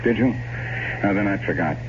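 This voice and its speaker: An actor playing a sober, rational gentleman with an earnest, measured delivery in an old-time radio drama. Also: fairly low pitch, close to an everyday voice. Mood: brooding, insistent. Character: sober, rational gentleman visiting a haunted friend. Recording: muffled, very noisy old radio recording.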